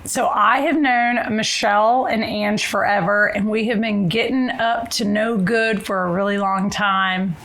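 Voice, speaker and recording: A woman host, chatty and bubbly, her voice a little hoarse. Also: mid-range voice, steady tone, a little slow, hardly any pauses, a bit bright, poor audio. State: slightly hoarse from losing her voice. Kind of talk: casual reality show chat and narration.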